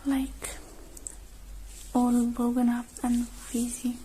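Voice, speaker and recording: A woman doing an ASMR roleplay as a technician, whispering softly and breathily close to the microphone, murmuring gently through each step. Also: fairly high pitch, completely flat delivery, takes it slowly, a little hiss or hum, poor audio. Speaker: ASMR creator playing a robot technician.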